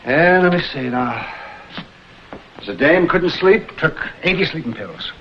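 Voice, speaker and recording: An actor playing a chatty, unhurried American morgue attendant with a folksy, rambling way of talking in a vintage detective drama. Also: male voice, fairly high pitch, expressive. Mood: casual, morbid. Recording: muffled old film soundtrack, faint noise.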